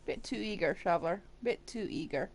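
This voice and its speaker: Wry woman streamer with animated delivery. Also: medium pitch, fairly quick. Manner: wry, sarcastic. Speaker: game streamer. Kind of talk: casual live gameplay commentary.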